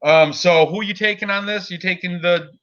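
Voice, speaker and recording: A man's voice: sits high, fairly even intonation, normal speed, dull audio, a crowd in the background. A man in his forties hosting a casual sports betting chat, rambling with lots of fillers and frequent laughs. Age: 40s. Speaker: podcast host.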